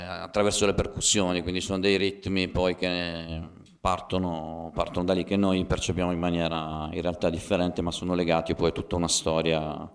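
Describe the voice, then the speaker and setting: A man explaining to an audience, hesitant as he pieces his phrases together. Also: low voice.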